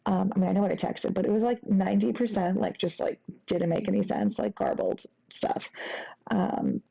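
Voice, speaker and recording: Woman acting flustered young ex-girlfriend, very creaky voice. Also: mid-range voice, mellow, dull audio. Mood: embarrassed, rambling. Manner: halting, rambling, embarrassed, breaking into nervous giggles. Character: sheepish, flustered, apologetic young ex-girlfriend after a drunken night. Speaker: audio roleplay performer. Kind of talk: intimate acted phone-call roleplay.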